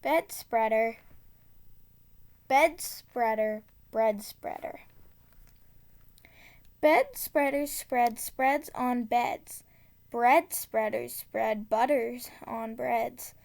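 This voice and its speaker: High-voiced woman reading a children's book aloud. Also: drawn-out words, creaky, pauses often, darkish tone. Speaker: storybook reader. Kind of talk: read-aloud of a children's tongue-twister book.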